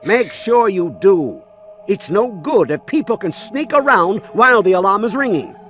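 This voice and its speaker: An actor playing a haughty, irritable villainous doctor with a cold, imperious manner in a video game. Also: male voice, high-pitched, expressive, normal speed, fairly bright tone, soft background music. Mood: irritated. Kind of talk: video game cutscene acting.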